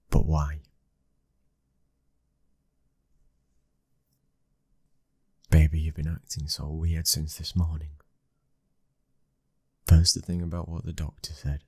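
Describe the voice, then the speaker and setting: A British man acting a protective, devoted young mafia husband in an intimate ASMR boyfriend roleplay, his breathy voice slipping into whispers. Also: little pitch movement, unhurried, long pauses, a little mellow, no echo, clean studio sound, full character voice. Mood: puzzled, probing. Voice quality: very deep, breathy, husky.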